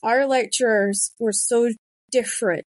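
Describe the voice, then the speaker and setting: A woman's voice: a little high, fairly even intonation, a little slow, no echo. A woman in her thirties, a podcast host and author, chatting casually and telling stories with quoted asides. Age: 30s. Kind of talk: casual conversation.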